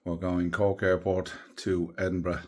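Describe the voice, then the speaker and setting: A man giving a low-key, matter-of-fact morning travel update to camera. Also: level tone, measured pace. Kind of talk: casual morning vlog update.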